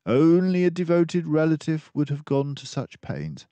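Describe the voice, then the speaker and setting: Older guy doing a smug precise mouse detective, storybook voice, way up high and swooping about. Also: sing-song, measured pace, mellow, no echo. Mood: confident, explaining. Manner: formal, self-assured, didactic. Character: confident, precise male mouse detective, lecturing and brisk.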